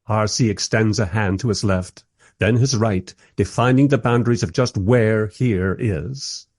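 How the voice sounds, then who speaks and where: A man's voice: fairly low pitch, a little slow, a little mellow, no echo, studio quality. An actor playing a wry modern filmmaker who narrates his own story in a scripted radio play. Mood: wry, observant.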